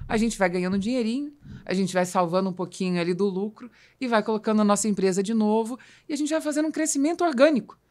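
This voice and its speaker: Brazilian woman podcast host, medium pitch, chatting business, explains stuff with little stories and repeats for emphasis. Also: quick pace, few pauses, darkish tone. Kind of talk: conversational business talk with anecdotes.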